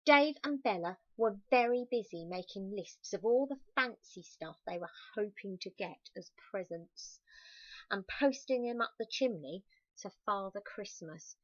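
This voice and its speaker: A slightly dull, dry home recording of a woman reading a picture book to children. Her voice sits at a middling pitch, and she reads expressively, emphasizing words and adding soft, confiding asides to the listeners. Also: expressive, unhurried, no room sound. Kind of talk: read-aloud children's story with chatty asides.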